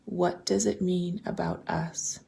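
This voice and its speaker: Woman doing guided visualization, soft breathy almost whispery, lots of vocal fry, really mellow, gentle questions. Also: fairly low pitch, little pitch movement. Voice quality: soft, breathy, often slipping toward a whisper. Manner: gentle, deliberate, posing open questions with pauses. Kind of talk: slow guided meditation, reflective questions.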